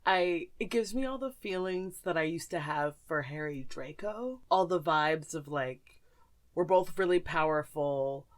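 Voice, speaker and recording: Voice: mid-range voice, animated, measured pace. A podcast host in casual fan chat, gushing and slangy, with frequent swearing.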